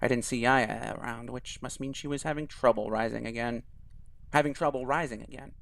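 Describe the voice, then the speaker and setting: A man reading a visual novel aloud in a quiet, even storytelling voice. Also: mid-range voice, fairly quick, creaky.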